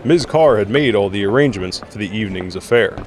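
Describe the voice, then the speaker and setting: A man's voice: on the low side, animated, quick pace, slight background noise. A man reading scripted narration for an audio drama. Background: music.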